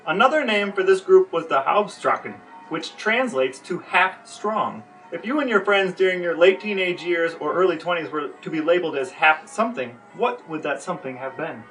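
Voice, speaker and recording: A man's voice: on the high side, animated, moderate pace, hardly any pauses, fairly bright tone, faint music behind, poor audio. A man hosting a podcast, delivering semi-scripted narration and questions in a wry, tongue-in-cheek way. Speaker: podcast host.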